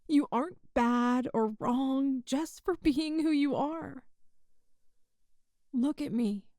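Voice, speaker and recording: A woman playing a kind, earnest human rescuer in an ASMR roleplay, speaking in a gentle, reassuring, folksy way to the listener. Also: high voice, dry recording, studio quality. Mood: tender, reassuring.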